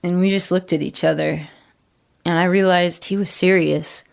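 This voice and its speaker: Troubled ex-addict with creaky low voice. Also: female voice, steady tone, normal speed. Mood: hurt, pleading. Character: troubled female former addict and ex-convict recounting a betrayal. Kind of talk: first-person horror audio drama monologue.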